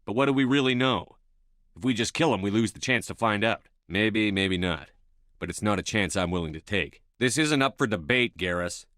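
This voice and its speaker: An actor playing a stern, steady human military commander in a sci-fi video game, clipped, authoritative and matter-of-fact. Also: male voice, lowish voice, normal speed, dry recording, clean studio sound, lightly acted. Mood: resolute. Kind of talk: video game dialogue acting.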